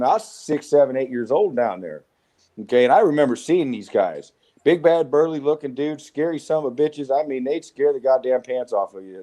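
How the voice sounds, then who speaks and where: A man in his forties with a Southwest American accent, telling rough, profane stories of his youth as an interview guest. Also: mid-range voice, warm tone. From United States. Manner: rough-spoken, swearing, frequent restarts. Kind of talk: casual storytelling interview.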